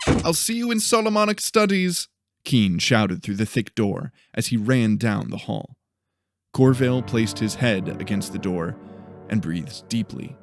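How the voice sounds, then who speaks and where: A man's voice: fairly low pitch, vocal fry. A man narrating dramatic fiction from a script as an audiobook storyteller. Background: faint music.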